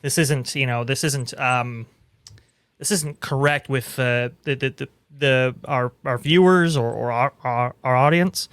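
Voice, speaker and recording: A man giving casual commentary in a panel discussion, speaking haltingly and repeating words as he thinks. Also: mid-range voice, fairly bright tone, clean tone, no echo. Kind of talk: casual discussion of entertainment business.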